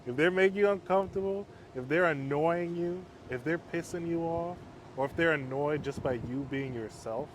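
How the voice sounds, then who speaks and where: A man speaking as an interview guest, a halfway home counselor, in a calm, measured, fairly monotone way. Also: on the high side, low-quality recording. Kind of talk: casual conversation. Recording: outdoor street noise.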